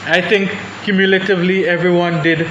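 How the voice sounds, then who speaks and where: A young man talks through his views on a panel, pausing and restarting as he thinks. His voice sits a little high, and the room is echoey with steady background noise. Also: lo-fi sound. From Saint Vincent and the Grenadines. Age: young adult. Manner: thoughtful, hesitant, many restarts. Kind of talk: panel discussion.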